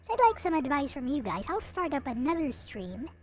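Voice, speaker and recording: A woman's voice: sits high, very animated, fairly quick. A woman livestreamer chatting casually to her viewers in a hesitant, rambling way. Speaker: live streamer, host.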